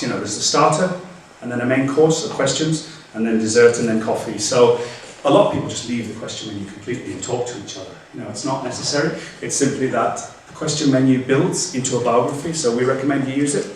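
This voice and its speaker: A male guest speaker fields questions from the audience, stopping and restarting his sentences often. He is picked up from a distance in a very echoey lecture room. A faint background hum runs under his voice. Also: mid-range voice. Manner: hesitant, many restarts and fillers. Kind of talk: Q&A after a lecture.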